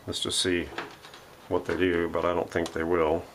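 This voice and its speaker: A man's voice: deep voice, monotone, normal speed, fairly bright tone, slight background noise. A man giving a casual, unscripted hands-on workshop demonstration, thinking aloud as he works. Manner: thinking aloud while working. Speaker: host of a radio repair vlog. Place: home workshop.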